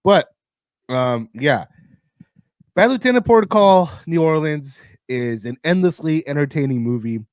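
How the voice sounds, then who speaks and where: A man with a raspy, tired voice that sits fairly high for a man, talking through a film countdown in a loose, rambling way. He swears freely and keeps restarting his sentences. The sound is dry and close, with no room echo. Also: animated, unhurried, a little mellow. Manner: profane, rambling, lots of restarts and fillers. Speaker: host of a movie countdown. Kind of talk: casual rambling film talk.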